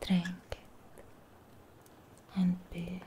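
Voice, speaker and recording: Very slow whispering young woman, ASMR witch. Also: lowish voice, completely flat delivery. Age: young adult. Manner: hushed, breathy whispering, drawn-out phrases. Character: charming, persuasive, eerie witch performing a cleansing ritual. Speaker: ASMR performer in witch roleplay. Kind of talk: ASMR roleplay, soft spoken to whispered. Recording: close-miked whispers with clear background noise.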